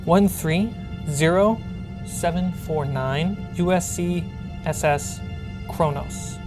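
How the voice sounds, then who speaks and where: Woman, low pitch, game master narration, suspenseful pauses, music playing behind. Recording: loud background music under the voice.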